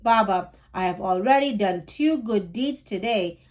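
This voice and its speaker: A woman's voice: medium pitch, animated, a little slow, darkish tone, lightly acted. A woman voicing a cheerful, helpful young girl in a children's storybook. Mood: proud.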